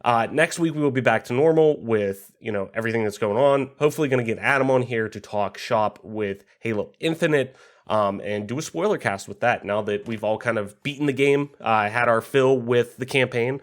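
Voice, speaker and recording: A man hosting a gaming podcast in casual chat, his voice slightly raspy from a cold, talking in a loose, rambling way with plenty of fillers and swearing. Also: mid-range voice, brisk. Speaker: podcast host.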